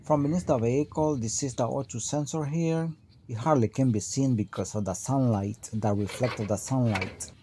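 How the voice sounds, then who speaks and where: A man's voice: medium pitch, normal speed, mellow, a little hiss or hum. A man giving a hands-on auto repair tutorial, explaining each step in a plain, practical way. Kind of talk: hands-on how-to narration.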